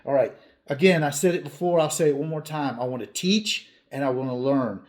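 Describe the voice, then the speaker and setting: A man's voice: medium pitch, a little fast, a little mellow. A man in his fifties hosting a hobby channel, talking casually to viewers in an earnest, plain-spoken way with emphatic repetition. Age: 50s.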